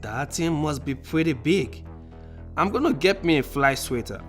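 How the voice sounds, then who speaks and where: A man's voice: fairly high pitch, animated, moderate pace, faint music behind, lightly acted. A man playing a breezy, eager, cocky lab assistant in a radio play. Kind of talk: amateur radio play acting.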